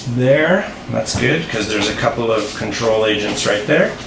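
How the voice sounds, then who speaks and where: A man's voice: lowish voice, lively intonation, measured pace. A man presenting an informal, unscripted board game tutorial, rambling and easily distracted, breaking off into asides. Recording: roomy echo, clear background noise.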